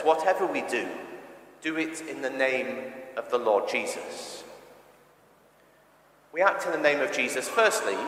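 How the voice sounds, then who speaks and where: Echoey church sermon from a male preacher. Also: medium pitch. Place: large reverberant church interior. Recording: echoey church with long reverb.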